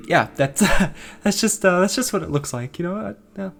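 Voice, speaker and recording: A woman playing a jittery, sly property buyer in an audio drama, halting and repeating words with nervous chuckles. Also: medium pitch, huge pitch swings, vocal fry, faint music behind, exaggerated voice. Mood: nervous, eager.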